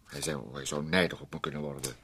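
A man's voice: very deep voice, level tone, fast talker, a bit bright. Een man die in een hoorspel een milde, aarzelende heer speelt die ruzie probeert te sussen. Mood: uneasy soothing. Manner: hesitant, soothing. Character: mild, placating gentleman who avoids quarrels. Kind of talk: Dutch radio play acting. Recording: old radio recording with faint noise.

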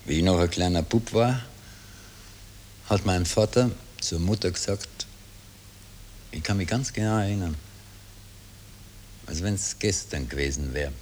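A man's voice: low voice, unhurried, long pauses, darkish tone. An actor playing a brooding grown man with a Viennese accent, reminiscing in old radio drama style. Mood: brooding, wistful. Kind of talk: 1960s German-language radio drama acting. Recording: hissy old tape.

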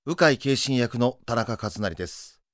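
A man's voice: mid-range voice, expressive, measured pace, fairly bright tone, no echo, studio quality. A man who works as a voice actor, giving an earnest, casually phrased cast comment.

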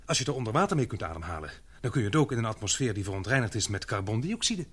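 A daring young expedition member in a radio play, eager yet deferential. His speech comes fast, with a husky edge and very lively intonation. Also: lowish voice. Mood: eager, persuasive. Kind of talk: Dutch radio drama acting.

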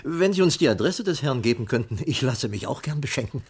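A man's voice: mid-range voice, animated, a little fast, husky. An actor playing a wry, bantering former policeman turned private investigator in a 1980s German radio crime drama. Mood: wry, probing. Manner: jocular, sardonic.

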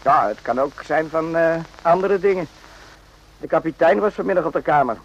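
An actor in a radio play voicing a brooding, rambling soldier who muses haltingly and repeats himself. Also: male voice, a little high, lively intonation, dull audio. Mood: chatty, defensive. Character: brooding, rambling soldier, former circus night watchman.

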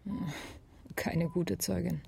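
Woman police investigator, low pitch, gravelly edge, laconic, guarded, dry wit, murmured radio drama delivery. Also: level tone, takes it slowly, warm tone, exaggerated voice. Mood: sober, sardonic. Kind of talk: naturalistic German radio crime drama acting.

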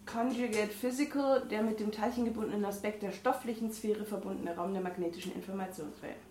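A woman with a German regional dialect co-hosting a casual talk show, reading a book aloud with halting, amused asides. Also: mid-range voice, steady tone, mellow. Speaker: podcast co-host reading from a book. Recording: echoey room with faint noise.

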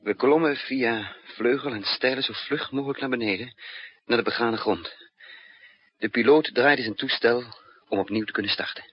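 An actor playing a resourceful fugitive spy in a 1960s Dutch radio drama, with a commanding, clipped manner. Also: male voice, medium pitch, lightly acted. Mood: measured, suspenseful. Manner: commanding, clipped orders alternating with measured narration. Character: resourceful, urgent male fugitive spy with lost memory. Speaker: narrator and lead actor. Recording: muffled, noisy 1960s radio recording.

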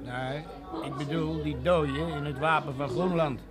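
An actor playing a chummy, evasive underworld barkeeper with a Dutch accent, streetwise and familiar in a crime drama. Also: male voice, a little high, steady tone, measured pace, a loud crowd. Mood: evasive, defensive. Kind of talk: television crime drama acting.

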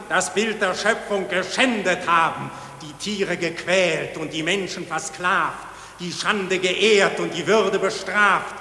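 A man's voice: sits high, pushed and bright. A man acting a wrathful voice from Mars in a radio play, solemnly and relentlessly declaiming judgment in verse. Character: wrathful cosmic voice from Mars judging mankind. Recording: echoing, long cavernous reverb.

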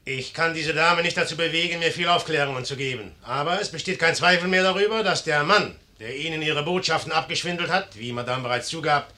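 An actor playing a commanding, shrewd and sardonic young general in a 1950s German radio play. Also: male voice, fairly high pitch, a little fast, hardly any pauses, pushed and bright. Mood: grave, threatening.

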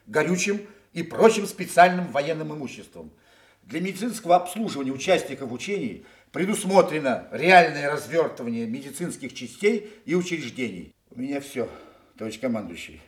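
An actor playing a dutiful general giving a formal military report in a Soviet radio play. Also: male voice, on the high side, lightly acted. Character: brisk general reporting on supply, male, dutiful.

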